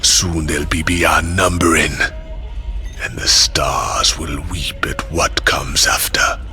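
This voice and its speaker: Cryptic folksy seer, slow, forceful gravelly bass, foreboding drawl, game drama. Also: male voice, steady tone, soft background music, heavily acted. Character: eerie, knowing male seer with folksy, cryptic speech.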